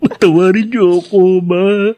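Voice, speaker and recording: Repentant adult son, pleading, emotional, devoted, radio drama, dry close sound. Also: male voice, sits high, steady tone, measured pace, warm tone, no room sound. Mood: remorseful. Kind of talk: Filipino radio drama acting.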